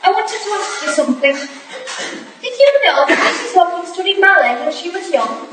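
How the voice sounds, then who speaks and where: A woman's voice: extremely high pitch, sing-song, normal speed, pushed and bright, heavily acted. A woman in a staged play reading, playing an earnest young Victorian detective's protégée, polite and tentative. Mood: wondering, uncertain. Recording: very echoey room, distant mic, faint noise.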